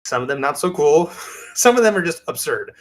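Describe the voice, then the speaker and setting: A man's voice: fairly high pitch, very animated, fairly quick. A man chatting casually as a podcast co-host, telling things in an enthusiastic, rambling way. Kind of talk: casual chat.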